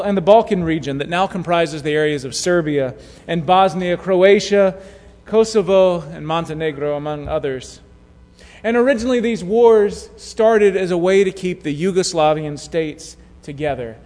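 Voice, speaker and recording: A man preaching a sermon, telling a story in a measured way that drops to a hush at key moments. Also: high-pitched, animated. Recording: long hall reverb.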